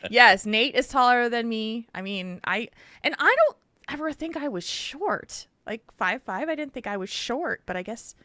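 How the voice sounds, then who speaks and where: Casting: bright, lively woman co-host, giggly, playful, dry close sound. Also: a little high, measured pace, no echo. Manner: giggly, playful, laughs often. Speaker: podcast co-host. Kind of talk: casual live-stream chat.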